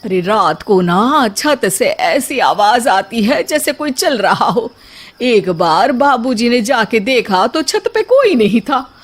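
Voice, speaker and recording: A woman's voice: a little high, very animated, slow pace, heavily acted. A woman voicing an anxious, complaining middle-aged mother-in-law with a melodramatic comic manner in a family story. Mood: nervous.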